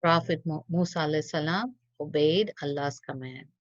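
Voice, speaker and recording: A woman reading a religious story for children in an expressive, teacherly way. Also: low voice, normal speed, dry recording. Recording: dull lo-fi audio.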